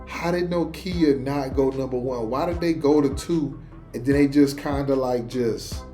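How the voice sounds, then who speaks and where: A man's voice: mid-range voice, normal speed, warm tone, faint music behind. A man chatting casually in a slangy, conversational way as host of a reaction show.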